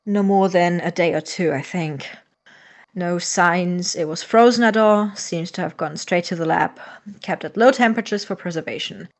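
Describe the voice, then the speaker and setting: A man's voice: sits high, moderate pace. An exasperated young male medical student in an audio drama. He is anxious and cuttingly sarcastic, a reluctant accomplice who speaks in a natural, everyday voice. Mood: uneasy, factual. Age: young adult.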